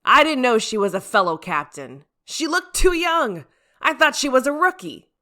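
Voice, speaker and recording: Woman acting a loud, blustering male fire captain. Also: sits high, big pitch swings, normal speed, voice pushed up, full character voice. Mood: defensive. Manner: loud, emphatic, bossy.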